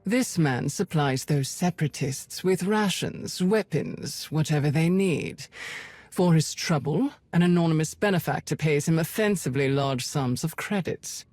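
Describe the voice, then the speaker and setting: Game villain: commanding Imperial spymaster. Low-key menace, formal diction, dry close recording. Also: female voice, expressive, no echo. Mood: cold, accusing. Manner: formal, measured, quietly threatening. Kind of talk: video game voice acting.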